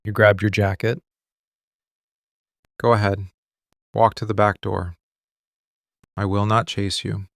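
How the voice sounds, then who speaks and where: A man acts out a dangerous mafia boss in love, possessive and intense, in an intimate audio roleplay. His voice is gravelly and stays in a narrow, controlled range. He speaks in slow, deliberate statements, right up on the microphone. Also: on the low side, flat delivery, no echo, clean studio sound. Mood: quiet menace. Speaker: voice actor. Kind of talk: boyfriend ASMR roleplay, intimate dramatic monologue. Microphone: close studio mic, dry.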